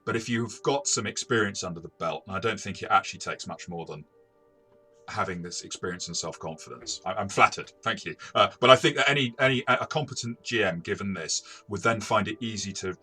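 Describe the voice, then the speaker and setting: Bright-voiced man, halting review chat. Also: low-pitched, soft background music. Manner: hesitant, halting, self-correcting delivery. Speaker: game reviewer and experienced game master. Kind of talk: conversational review discussion.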